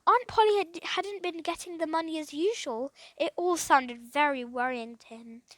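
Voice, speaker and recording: A woman reads a children's adventure story aloud as narrator. Her voice is high-pitched. The recording is dry, with very little room sound. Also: normal speed, a bit bright, no room sound. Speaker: storybook reader.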